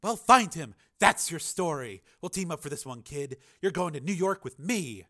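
A man voicing a brash, bossy big-city woman TV reporter who shouts commands in an audiobook. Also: on the high side, expressive, brisk, pitched above the performer's own voice. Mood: brash, urgent.

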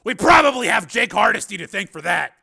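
A man voicing an intense, agitated action hero in an audiobook, speaking loudly and emphatically with a strained delivery. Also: high-pitched, very animated, fairly quick, vocal fry, slightly rough, voice pushed up, full character voice. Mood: tense.

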